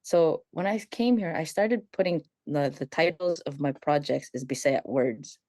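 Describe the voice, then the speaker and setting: A woman from the Philippines, a guest on a radio talk show, telling stories in an animated, casual way and laughing as she goes. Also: low-pitched, measured pace, no room sound.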